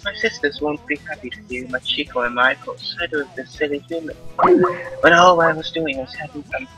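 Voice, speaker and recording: A man's voice: on the high side, expressive, fairly quick, pushed and bright, low-quality recording. A man voicing a small, bratty, defiant slime girl in a visual novel read-aloud. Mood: whiny. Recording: music behind the voice.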